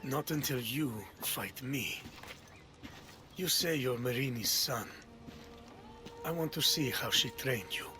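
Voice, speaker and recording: An actor playing a stern, proud old Spartan king and grandfather in a video game, speaking in a grave, measured manner. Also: medium pitch, lively intonation, background noise. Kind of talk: video game cutscene dialogue.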